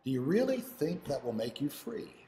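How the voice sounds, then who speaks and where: An actor, a man, playing an earnest, troubled farmer father telling his story in a halting dramatic monologue. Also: fairly high pitch, very animated, gravelly, warm tone, voice pushed up. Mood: pleading, stern. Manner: halting, with dramatic pauses and restarts. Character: anguished, earnest farmer father of two sons. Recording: roomy, echoing hall with long reverb.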